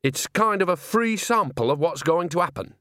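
Guy putting on a chirpy gossipy old witch lady, voice up high, bouncy. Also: animated, moderate pace, fairly bright tone, dry recording, clean studio sound. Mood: chatty. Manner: gossipy, breezy. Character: cheerful chatty old woman witch, earthy.

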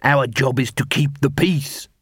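A man's voice: mid-range voice, unhurried, fairly bright tone, breathy edge, dry recording, clean studio sound. A man voicing a level-headed male dwarf watchman who talks plainly and reasons.

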